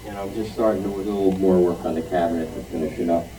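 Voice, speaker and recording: A man giving an informal show-and-tell talk at a club meeting, halting, with frequent ums. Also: low voice, steady tone, music in the background. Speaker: club member presenting a restored radio. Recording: distant microphone at club meeting.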